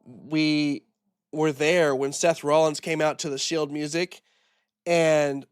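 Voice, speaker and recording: A man hosting his own wrestling podcast, talking in a rambling, casual way with lots of fillers, his voice hoarse from a recent illness. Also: a little high, fairly even intonation, slow, no room sound, studio quality. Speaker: podcast host. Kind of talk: solo wrestling opinion podcast.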